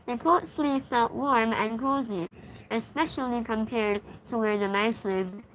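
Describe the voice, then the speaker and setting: Woman, high-pitched, children's story read-aloud, host and reader, noisy recording. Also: a little slow, fairly bright tone. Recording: clearly noisy background.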